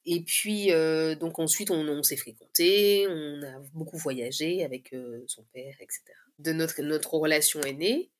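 Low-voiced woman sharing her story, hesitant. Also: measured pace, few pauses. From Guadeloupe. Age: 30s. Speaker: podcast guest giving a personal testimony. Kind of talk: candid personal storytelling in conversation.

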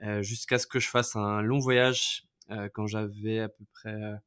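A man's voice: fairly low pitch, little pitch movement, a little slow, fairly bright tone, smooth. A man in his thirties from France, a podcast guest telling his life story in a casual, hesitant way with many fillers and restarts. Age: 30s.

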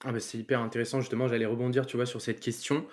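Man, podcast host, casual interview, hesitant, lots of fillers, middle pitch. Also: flat delivery, racing. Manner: hesitant, many fillers and repeated words when unscripted. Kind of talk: scripted intro, then casual interview.

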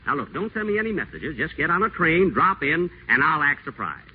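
An actor playing an acerbic, pompous radio celebrity who schemes, in a 1940s radio play. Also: male voice, mid-range voice, very animated, moderate pace, lightly acted. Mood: honeyed. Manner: sardonic, theatrical, wheedling on the phone. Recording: tinny old radio broadcast, faint hiss.

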